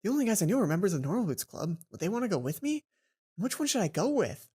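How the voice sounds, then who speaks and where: A woman reading a visual novel game aloud as a let's play host, playful and expressive, often stumbling and rephrasing. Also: mid-range voice, fast talker, breathy edge.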